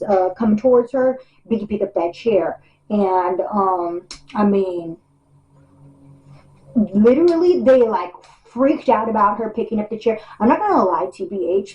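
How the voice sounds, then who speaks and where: Female reality cast member, medium pitch, loose hesitant chatty delivery, roomy echoing recording. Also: dark tone, muffled recording. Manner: rambling, hesitant, slangy. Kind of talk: casual chat. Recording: echoey room sound.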